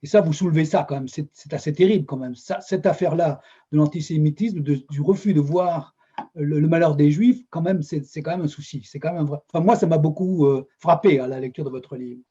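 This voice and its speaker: Earnest husky male interviewer. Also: fairly high pitch, brisk, mellow, dull audio. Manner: earnest, searching questions with restarts. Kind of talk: interview questions.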